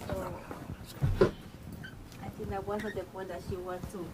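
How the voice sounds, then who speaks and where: A woman's voice: medium pitch, little pitch movement, measured pace. A woman chatting casually as a guest on a radio show. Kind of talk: casual chat. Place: radio studio. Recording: loud noise and clicks in studio.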